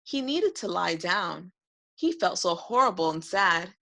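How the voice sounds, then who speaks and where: A woman reading a children's picture book aloud for preschoolers in an expressive narrating style. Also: fairly low pitch, a little slow, gravelly. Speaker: read-aloud host.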